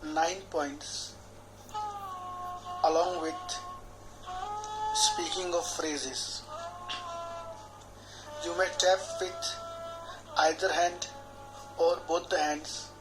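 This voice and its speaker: A man, a healer guiding a tapping session in a slow, measured, soothing way, speaking repeated affirmations phrase by phrase. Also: a little high, very animated, poor audio. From India. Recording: clear background music under the voice.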